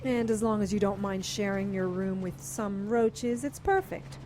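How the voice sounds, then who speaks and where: A woman playing a sharp-tongued spellcaster sidekick in an audio drama, wry and practical. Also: mid-range voice, a little slow, background noise, lightly acted.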